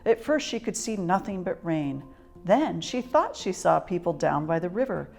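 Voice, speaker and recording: Expressive low-voiced woman reading a storybook. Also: normal speed, vocal fry, faint music behind. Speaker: storyteller reading a children's picture book aloud. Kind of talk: expressive scripted story narration.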